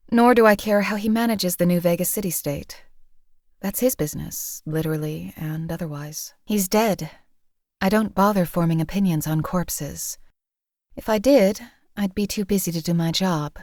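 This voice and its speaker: A woman's voice: on the low side, darkish tone, dry recording, close to an everyday voice. A woman voicing a cold, detached assassin. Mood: coolly dry. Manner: dry, detached, coolly contemptuous. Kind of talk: video game voice lines.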